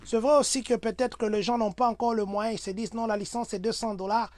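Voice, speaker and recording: A man talks through everyday advice for his community. He is earnest and preachy, and his voice jumps to loud exclamations when he makes a point. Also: high-pitched, fairly even intonation, fast talker, no room sound. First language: Swahili. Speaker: community advisor.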